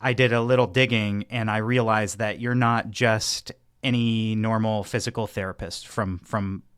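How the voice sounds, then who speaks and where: A man's voice: lowish voice, steady tone, normal speed, fairly bright tone, clean tone, no echo, studio quality. A man hosting a podcast, introducing his guest with enthusiasm and a lot of rambling. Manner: enthusiastic, rambling with fillers and restarts. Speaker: podcast host. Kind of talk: casual interview hosting.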